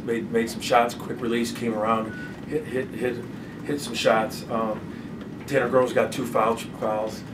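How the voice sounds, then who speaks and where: A middle-aged man coaching basketball, answering postgame press questions in a halting way, repeating words and restarting often. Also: medium pitch. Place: press conference room. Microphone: desk microphone from a distance. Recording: distant mic, clear background noise.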